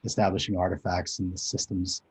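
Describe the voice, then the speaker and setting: Very husky low-voiced man presenting. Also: completely flat delivery, brisk, darkish tone. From Japan. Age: 40s. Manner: hesitant, filler-heavy, conversational. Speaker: conference speaker. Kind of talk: presentation over a video call. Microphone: video call mic.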